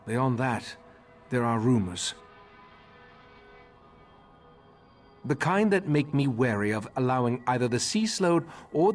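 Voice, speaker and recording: An actor playing a grave, remorseful elven mage leader in a fantasy game, speaking formally with measured, slightly husky delivery. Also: male voice, medium pitch, slight background noise, natural voice. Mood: pensive, wary. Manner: measured, formal, solemn exposition. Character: grave elderly male high elf mage leader, remorseful and scholarly.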